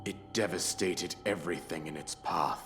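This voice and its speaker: A man's voice: deep voice, moderate pace, over music, close to an everyday voice. An actor playing a solemn old king in a game cutscene, speaking in a grave, stately way with archaic phrasing. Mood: grave, sorrowful. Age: older. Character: solemn old king, last leader of a fallen kingdom. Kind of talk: dramatic game cutscene acting.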